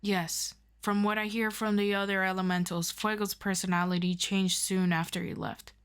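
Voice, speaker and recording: A woman playing a calm, formal superior of a superhero team in an audio roleplay, asking measured, probing questions. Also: medium pitch, clean tone, lightly acted. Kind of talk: scripted audio roleplay addressed to the listener.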